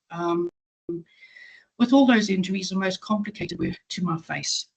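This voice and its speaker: A woman's voice: medium pitch, slightly rough, rough recording. A woman in her forties with a South African accent, a patient advocate giving a matter-of-fact webinar talk over a video call, with frequent ums. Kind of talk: webinar presentation.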